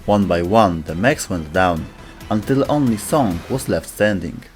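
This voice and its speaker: A man's voice: sits low, expressive, unhurried, faint music behind. A man reading scripted narration as a video host.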